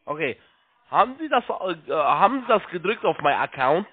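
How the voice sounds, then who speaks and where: A man working as a call-centre agent, giving halting step-by-step instructions, repeating himself and spelling things out. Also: a little high, big pitch swings, breathy edge. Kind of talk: step-by-step phone sales instructions. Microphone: telephone. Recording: tinny narrow phone line.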